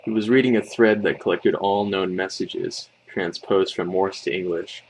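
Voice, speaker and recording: Deep, creaky-voiced man narrating horror. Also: steady tone, normal speed, rough recording. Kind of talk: scripted creepypasta narration.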